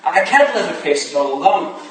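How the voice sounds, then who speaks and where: Guy acting earnest victorian husband defending himself, high voice, period play, far from mic and super echoey. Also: big pitch swings, fairly quick. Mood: earnest, defensive. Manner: earnest, theatrical. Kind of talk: staged reading of a period drama. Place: staged reading in a room, recorded from afar. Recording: distant echoey room, faint noise.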